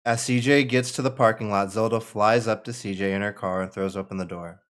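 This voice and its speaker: A man's voice: fairly low pitch, steady tone, brisk. A man reading out the stage directions and narration at a screenplay table read.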